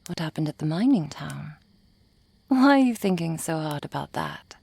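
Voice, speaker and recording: Woman playing a refined merchant, low dry voice, formal measured diction, composed and cold-hearted, ASMR audio drama. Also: sing-song, vocal fry, warm tone, slightly rough, no room sound, full character voice. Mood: cool, matter-of-fact.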